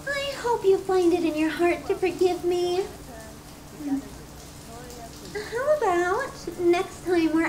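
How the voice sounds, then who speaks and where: A woman's voice: extremely high pitch, heavily acted, poor audio. A woman playing a possessive, sadistic yandere girlfriend in an ASMR roleplay, doting and cutesy with theatrical affection. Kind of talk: ASMR roleplay, scripted monologue to the listener.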